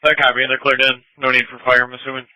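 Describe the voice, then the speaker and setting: A man's voice: mid-range voice, completely flat delivery, rapid-fire, no echo, rough recording. A man working as a police dispatcher, giving procedural calls and addresses over the radio. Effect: police radio transmission. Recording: dry sharp dispatch radio audio.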